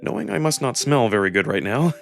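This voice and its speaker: A man's voice: medium pitch, big pitch swings, fairly quick, creaky. A man narrating a first-person story dramatically, as a voice performer reading a visual novel. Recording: music behind the voice.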